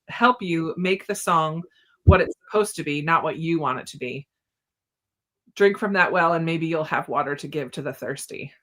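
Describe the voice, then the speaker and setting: A woman's voice: low voice, pushed and bright. A woman hosting a casual book discussion, chatting informally and often laughing through her words.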